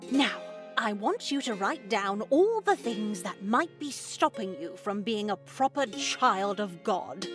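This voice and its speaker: A woman playing a pious, strict, motherly nun in a game, speaking in a measured, formal, instructive way. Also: mid-range voice, very animated, music in the background. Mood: serene, preaching. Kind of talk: scripted visual novel voice acting.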